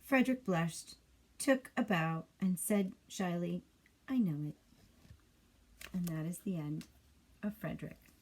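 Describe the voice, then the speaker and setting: A woman reading a children's bedtime story as an expressive storyteller. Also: on the low side, measured pace, low-quality recording.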